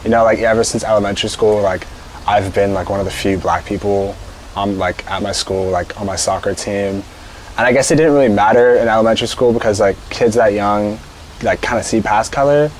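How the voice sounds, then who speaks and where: A man's voice: lowish voice, brisk, a little hiss or hum, low-quality recording. A teenage boy speaking hesitantly, with many filler words, in an outdoor interview. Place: outdoors on a porch.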